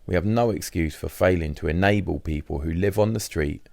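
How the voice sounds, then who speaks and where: A man's voice: sits low, fairly quick, vocal fry, a little mellow, no room sound. A man reading a first-person diary as scripted audiobook narration.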